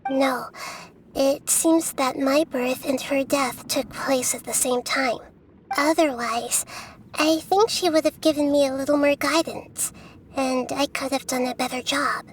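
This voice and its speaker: A woman voicing a calm, wise, child-sized young goddess in a video game, speaking in a thoughtful, measured way. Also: sits high, a little hiss or hum, poor audio. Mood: wistful. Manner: measured, thoughtful, formal phrasing. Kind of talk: video game story dialogue. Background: game music.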